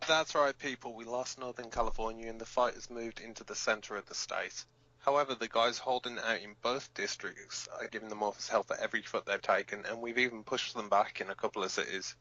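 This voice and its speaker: A man's voice: lowish voice, steady tone, fairly quick, sharp tone, dry recording, lo-fi sound. A man playing a hot-headed, protective resistance fighter who hosts a rebel radio broadcast in an audio drama, blunt, emphatic and given to swearing. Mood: grim, rallying.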